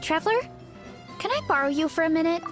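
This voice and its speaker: A woman voicing a gentle, earnest young female adventurer in a video game, sincere and slightly hesitant. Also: extremely high pitch, lively intonation, fast. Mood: shy, grateful. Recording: music behind the voice.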